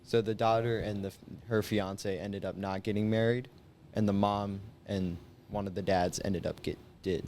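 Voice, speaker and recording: A young man in his late teens with a slightly low voice talks casually as a guest. He explains things loosely and informally. There is faint noise behind him. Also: steady tone, normal speed, darkish tone. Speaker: high school senior guest. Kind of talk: casual chat.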